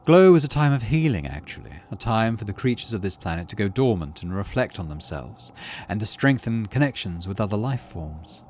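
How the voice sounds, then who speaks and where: A man voicing a calm, teasing, cryptic alien forest guide in a visual novel game, with a wry, deliberate manner. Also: fairly low pitch, a little hiss or hum.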